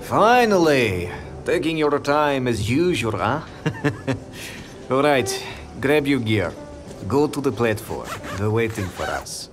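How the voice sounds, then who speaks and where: Teasing, gruffly friendly male soldier acted by a man, game dialogue, varied pitch over music. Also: very animated, slow, long pauses. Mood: teasing, brisk. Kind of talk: video game character acting.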